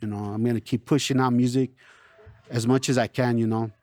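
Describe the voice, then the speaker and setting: A man born in Chicago and raised in El Paso, a rapper talking casually in an interview, relaxed and streetwise, always saying "you know". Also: medium pitch, brisk, no room sound. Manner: laid-back, streetwise, lots of "you know". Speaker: local rapper, interview guest.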